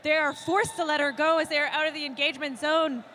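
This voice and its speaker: Woman doing derby play-by-play, bright high voice, faint arena hum. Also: steady tone. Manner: quick play-by-play calls, playful banter. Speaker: roller derby commentator. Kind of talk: live play-by-play commentary. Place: leisure centre arena.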